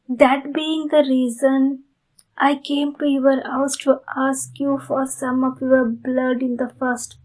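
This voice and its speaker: Woman voicing a slow, high-voiced polite vampire lady. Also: rough recording. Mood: earnest, explaining. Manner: courteous, formal, earnest, halting phrasing. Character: polite, pleading female vampire in need of blood. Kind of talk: ASMR roleplay addressed to the listener.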